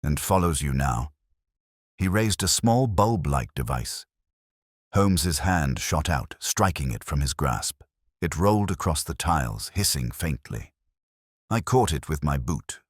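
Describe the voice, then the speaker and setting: Very deep-voiced male mystery narrator. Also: animated, measured pace, breathy edge, dry recording, studio quality. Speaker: audiobook narrator. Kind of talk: scripted first-person mystery narration.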